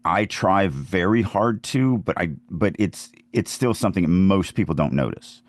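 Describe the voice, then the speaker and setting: A man's voice: sits low, animated, a little slow, dry recording. A man in casual conversation as a podcast co-host, speaking emphatically and repeating phrases to make a point. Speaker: writer co-hosting a writing podcast. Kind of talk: casual conversational writing discussion.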